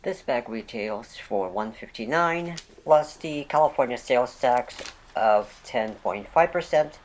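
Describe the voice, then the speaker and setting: A vlogger talks through a product unboxing in a raspy voice, roughened by a cold. The pitch sits in a middle range. Faint noise runs behind the recording. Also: lively intonation, measured pace. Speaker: vlog host.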